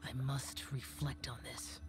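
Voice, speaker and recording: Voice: medium pitch, little pitch movement, measured pace, lightly acted. An actor plays an ancient demon slayer with a young man's voice, stoic and aloof. The speech is formal, terse and brooding, as in an anime game, with music playing underneath. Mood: somber, remorseful. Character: ancient youthful-looking male demon-slaying guardian spirit, stoic, aloof.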